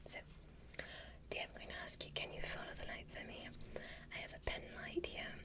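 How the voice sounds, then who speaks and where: A woman voicing a courteous, curious female alien space doctor in a soft, breathy, soothing whisper, as ASMR roleplay. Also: natural voice. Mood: calm, curious. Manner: slow, soothing, gently instructing. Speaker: ASMR performer.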